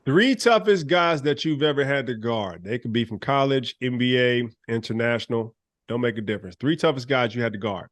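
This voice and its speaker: A man hosting a podcast interview, relaxed and teasing as he asks quick questions. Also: medium pitch, dry recording. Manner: relaxed, teasing, laughs often. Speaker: podcast host. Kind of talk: casual interview chat.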